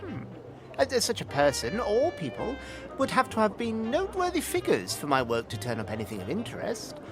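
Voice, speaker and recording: A man's voice: high-pitched, wildly swooping pitch, a little fast, heavily acted. A man playing a nervous, bookish records clerk in an audio drama, speaking formally and apologetically with a fussy stammer. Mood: hesitant, polite. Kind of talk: acted audio drama dialogue. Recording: music under the voice.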